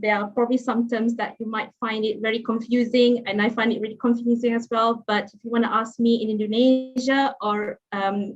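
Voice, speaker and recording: Woman, Malaysian accent, thirties, chatty guest lecturer, filler-heavy, slightly high voice, muffled call audio. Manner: chatty, friendly, many fillers and restarts. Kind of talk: online lecture. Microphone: video call.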